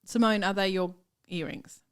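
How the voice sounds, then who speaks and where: A woman's voice: mid-range voice, fairly even intonation, clear, resonant tone. A woman in her thirties co-hosting a podcast, chatting casually and laughing often. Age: 30s. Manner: playful, quick to laugh. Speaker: podcast co-host. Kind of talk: casual chat.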